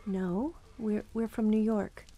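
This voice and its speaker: A woman's voice: medium pitch, fairly even intonation, slow, warm tone. A woman playing an anxious, suspicious young honeymooning wife in an audio drama. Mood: uneasy, polite. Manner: hesitant, anxious, insistent.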